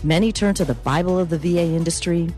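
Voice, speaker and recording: A woman's voice: lowish voice, fast talker, mellow. A woman announcer reading a scripted radio advertisement. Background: faint music.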